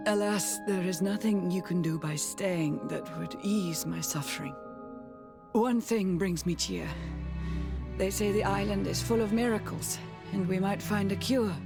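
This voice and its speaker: A middle-aged woman playing a dying noble mother in a video game, gentle, weary, formal and halting. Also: mid-range voice, creaky, over music. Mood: resigned, loving. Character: ailing, dying noble mother, tender and resigned. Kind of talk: dramatic video game acting.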